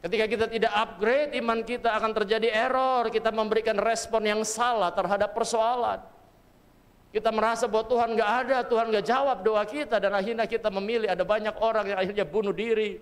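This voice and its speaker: A man's voice: high voice, steady tone, a little fast, clean tone. A middle-aged pastor from Papua, Indonesia, preaching a sermon and telling his own life story earnestly to the congregation. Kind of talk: sermon with personal testimony. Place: church hall. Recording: long church hall reverb.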